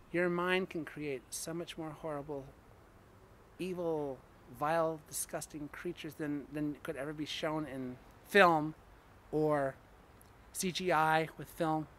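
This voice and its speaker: Bright-voiced man chatting informally to an audience. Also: on the high side. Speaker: story reader speaking to an audience. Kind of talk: informal personal talk to an audience.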